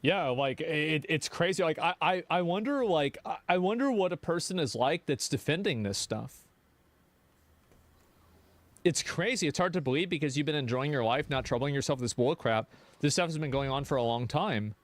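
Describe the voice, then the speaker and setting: A man's voice: fairly high pitch, expressive, moderate pace, dry recording. A man giving casual reaction commentary, blunt and ranting, swearing freely. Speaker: streamer.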